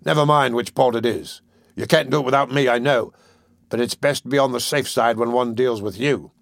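A man voicing a surly, short-tempered burglar in an audiobook, blunt and scornful, with rough slang. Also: medium pitch, brisk, dry recording, studio quality, natural voice. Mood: blunt, businesslike. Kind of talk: audiobook character voice.

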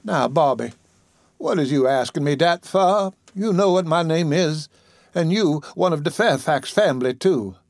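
A bossy, motherly old Southern woman scolds in a high, drawn-out Southern drawl, as a male narrator puts her on. Her words stretch out slowly and rise high above an ordinary man's voice. The sound is dry and close to the mic. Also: animated, gravelly, dry recording. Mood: scolding, motherly. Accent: American Southern. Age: older. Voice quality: high put-on voice, drawn-out dialect speech.

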